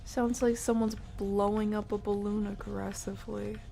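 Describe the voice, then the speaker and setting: A young woman streaming a game from her room, chatting casually and playfully, rambling and often dropping to a breathy near-whisper. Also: medium pitch, little pitch movement, normal speed, warm tone, a little hiss or hum. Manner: playful, rambling, murmuring to herself. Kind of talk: casual live stream chat while playing.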